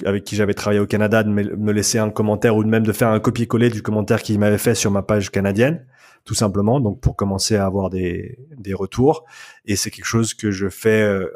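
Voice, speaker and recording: A man's voice: lowish voice, a little mellow. A man speaking as a podcast guest about his coaching work, in a casual, hesitant way with many restarts. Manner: bilingual.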